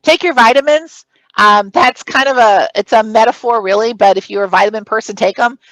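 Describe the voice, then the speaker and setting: A woman's voice: on the high side, fairly quick, fairly bright tone. A woman at a conference session giving interview advice in a strong, projected voice. She stresses her points firmly and counts them off one by one. The sound is close and dry, with no room echo. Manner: projected, emphatic, speaks in numbered points. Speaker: conference presenter. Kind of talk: recorded conference talk giving career advice.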